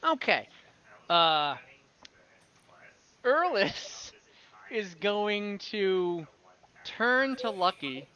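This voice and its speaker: A man's voice: sits high, sing-song, drawn-out words, long pauses, pushed and bright. A man narrating an online tabletop game as game master, hesitant and thinking aloud as he goes.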